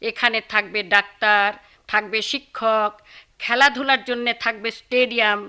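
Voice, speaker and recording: A woman's voice: on the high side, steady tone, normal speed, pushed and bright, clear, resonant tone. An actress playing an educated, idealistic young village woman in a radio drama, speaking in an earnest, declamatory way. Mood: fervent, hopeful.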